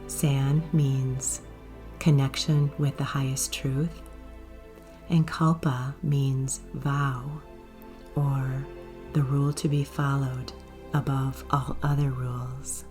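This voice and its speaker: A woman guiding a meditation in a soft, gentle, unhurried way, with breathy phrase endings. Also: extremely low pitch, flat delivery. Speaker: yoga nidra guide. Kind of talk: guided meditation narration. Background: soft music.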